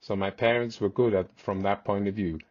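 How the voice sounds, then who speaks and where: A rather low-voiced man in his forties, raised in South Africa and a native Swiss German speaker, telling a podcast host stories in a loose, rambling way. He restarts his sentences often, and the recording is dry and dull with no room sound. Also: steady tone, a little fast, darkish tone, no echo. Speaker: podcast guest. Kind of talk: casual storytelling.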